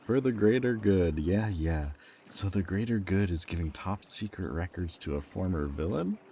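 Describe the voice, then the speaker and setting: A man playing a protective, teasing former villain in an audio roleplay, with a wry, casual, halting delivery. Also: low-pitched, expressive, warm tone, slight background noise.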